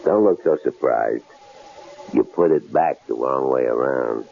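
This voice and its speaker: An actor in a 1970s radio drama playing a menacing killer holding captives, taunting them with coolly sardonic, drawling questions. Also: male voice, rumbling low, unhurried, vocal fry, darkish tone, heavily acted. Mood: smug menace. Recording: tinny, noisy old radio broadcast recording.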